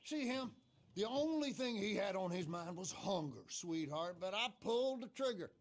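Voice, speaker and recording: An actor playing a smug, sarcastic master furrier and retired hunter in a western video game, mocking and showy. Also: male voice, sits high, lively intonation, normal speed. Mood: mocking, irritated. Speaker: fur trader and craftsman. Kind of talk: theatrical video game cutscene acting.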